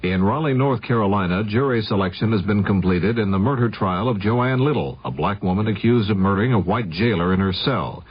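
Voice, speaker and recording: A man's voice: on the low side, fairly quick, few pauses, slightly rough. An American man reading scripted news as anchor of a radio newscast. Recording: dull vintage radio broadcast.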